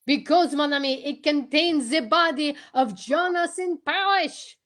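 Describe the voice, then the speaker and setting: A woman playing a courteous, self-assured male detective, theatrical and deliberate, in a radio play. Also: high voice, a bit bright. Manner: theatrical, deliberate, tag-questioning. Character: courteous, self-assured male French-speaking detective explaining his case. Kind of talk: amateur old-time radio play reading.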